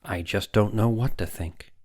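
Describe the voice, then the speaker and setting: A man's voice: on the low side, moderate pace, a little mellow, natural voice. Audiobook role: male reader as doubtful young doctor, troubled conscience, hesitant, raising objections. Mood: dull, uncertain. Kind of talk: audiobook character dialogue.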